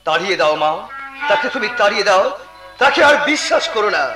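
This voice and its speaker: A man playing a scornful, accusing young husband in folk-theatre melodrama, declaiming with drawn-out emphasis. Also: sits high, big pitch swings, sharp tone, reverberant, faint music behind, heavily acted. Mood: agitated, accusing.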